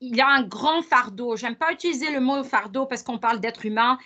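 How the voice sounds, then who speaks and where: A woman's voice: a little high, fairly quick, bright, forceful tone. A middle-aged woman, a guest academic speaking earnestly in a conversational interview, with frequent fillers and restarts. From Lebanon.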